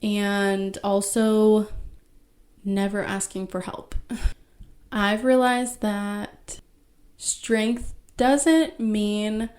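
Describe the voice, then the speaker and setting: A woman in her thirties speaks slowly and softly, taking her time between thoughts. Her voice sits at a medium pitch. She hosts her own podcast and talks alone to her listeners. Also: steady tone. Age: 30s. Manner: slow, soft-spoken and reflective. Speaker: podcast host. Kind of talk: solo podcast talk.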